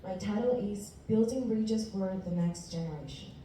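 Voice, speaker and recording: A woman in her twenties from Ecuador, a native Spanish speaker, giving an earnest, deliberate prepared presentation as a university student. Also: medium pitch, animated, warm tone. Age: 20s. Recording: echoey room, distant mic, faint noise.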